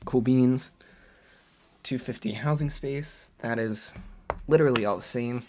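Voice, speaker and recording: A man live-streaming a game, chatting casually to his viewers with a slightly hoarse voice and lots of fillers as he thinks aloud. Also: mid-range voice, measured pace, a little mellow, slight background noise.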